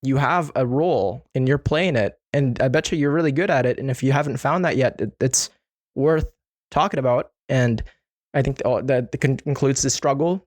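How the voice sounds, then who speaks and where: A man's voice: medium pitch, a little mellow, no room sound. A man hosting a podcast, speaking in an earnest, encouraging, motivational way in casual conversation. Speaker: podcast host.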